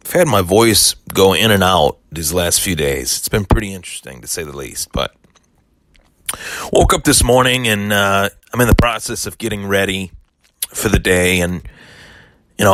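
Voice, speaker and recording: A man with a hoarse voice hosting a solo sports podcast, talking in an aggrieved, defensive way with lots of restarts. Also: low-pitched, a bit bright, no room sound.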